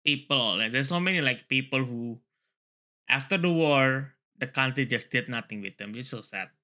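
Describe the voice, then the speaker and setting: Male, very bright forceful voice, read-along host, casual, repetitive. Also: medium pitch, expressive, normal speed. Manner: rambling, repeats phrases. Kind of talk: book reading mixed with casual commentary.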